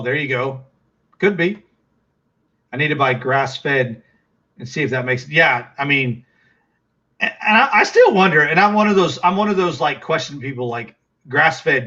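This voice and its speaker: A man's voice: fairly high pitch, bright, forceful tone, highs cut off. A middle-aged man with a Texas accent, chatting casually with viewers on a livestream, rambling with lots of fillers and restarts.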